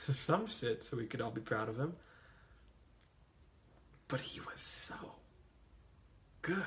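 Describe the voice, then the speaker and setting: An actor playing a grieving young man giving a eulogy for a friend in a film drama, raw and bitter. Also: medium pitch. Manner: raw, bitter, rising to anger.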